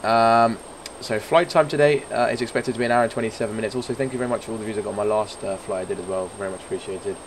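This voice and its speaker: Hoarse, croaky man narrating a flight sim. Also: fairly low pitch, steady tone, very fast, few pauses, noisy background. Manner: rambling, many fillers and restarts. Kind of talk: casual gameplay commentary.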